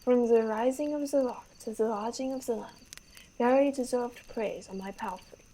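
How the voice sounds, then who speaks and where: A woman's voice: on the high side, moderate pace, mellow, close to an everyday voice, rough recording. A young woman acting a vain, horse-besotted young French prince in a Shakespeare radio play, grandiose and declamatory. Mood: lofty praise.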